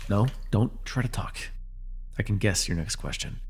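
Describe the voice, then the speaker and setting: A man playing a jaded but protective fallen-hero villain in a superhero ASMR roleplay, speaking intimately in a gravelly, measured, soothing voice with a wry edge. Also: lowish voice, darkish tone. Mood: guarded, bitter. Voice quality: gravelly, husky.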